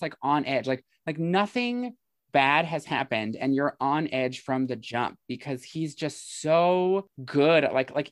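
A man's voice: medium pitch, no room sound, clean studio sound. A man co-hosting a casual podcast, speaking informally with swearing and repeating phrases for emphasis. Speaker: podcast co-host. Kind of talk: casual chat about horror movies.

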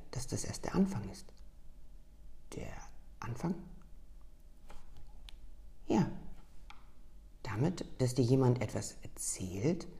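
Female storyteller, hushed whispered reading, slow and soft, husky low register with fry.

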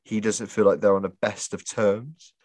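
Chatty co-host with a chesty cough. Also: male voice, lowish voice, fairly even intonation, darkish tone, no echo, clean studio sound. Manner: chatty, laid-back, quick to laugh. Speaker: podcast co-host. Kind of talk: casual chat.

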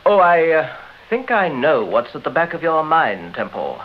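Through a dull, band-limited old radio recording comes a brisk English inspector. His voice sits fairly high and moves animatedly, discreet yet friendly, in mid-century radio drama manner. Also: sing-song. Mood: grave, cautious.

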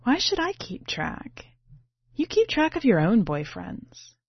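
A woman's voice: fairly high pitch, wildly swooping pitch, takes it slowly, creaky, breathy edge, voice pushed up, exaggerated voice. A woman voicing a brash young female ice cream seller with playfully hostile, dismissive speech in a read story. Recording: muffled, roomy home recording.

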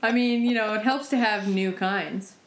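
A woman's voice: mid-range voice, background noise. Giggly, rambling woman in an echoey room. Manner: giggly, rambling, fast bursts. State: stuffed up. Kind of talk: casual chat.

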